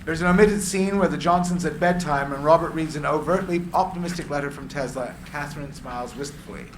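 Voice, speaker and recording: A man's voice: on the high side, brisk, echoey room, slight background noise. A man reading screenplay narration and stage directions at a live script reading.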